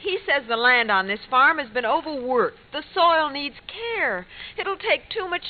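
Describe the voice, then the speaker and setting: An American actress playing a young, skeptical, scheming daughter in an old radio comedy. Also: female voice, high-pitched, expressive, a little slow. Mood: exasperated, skeptical. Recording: tinny vintage radio recording.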